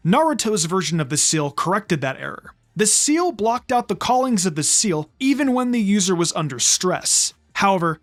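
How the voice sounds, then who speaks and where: A storyteller reads fan fiction aloud as scripted narration. The pitch sits in a middle register and moves around in a lively, expressive way. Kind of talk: scripted narration, audiobook-style story reading.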